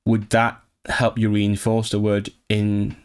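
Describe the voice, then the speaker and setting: Young guy streaming, lowish voice, thinks out loud, lots of restarts and questions to chat. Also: completely flat delivery, slow, smooth. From Hong Kong. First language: Cantonese. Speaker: language-learning streamer. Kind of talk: casual live-stream chat reading viewer comments.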